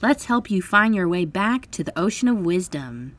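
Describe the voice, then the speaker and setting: A woman voicing a kind, eager little fairy princess in a children's storybook, gentle, airy and reassuring. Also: on the low side, sing-song, moderate pace, pitched above the performer's own voice. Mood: gentle, helpful.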